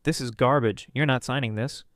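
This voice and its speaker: A man's voice: mid-range voice, steady tone, moderate pace, no room sound, clean studio sound, lightly acted. A man voicing a kind but firm older male lawyer in a spoken story, speaking with emphatic reassurance.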